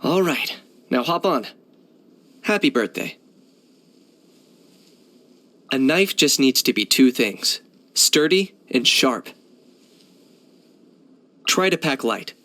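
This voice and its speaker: A young mercenary hunter for a video game. He is cool and pragmatic, speaking at a middling pitch in a composed, matter-of-fact way with a dry edge. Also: lively intonation, takes it slowly, long pauses, sharp tone. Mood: calm, polite. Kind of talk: video game character acting.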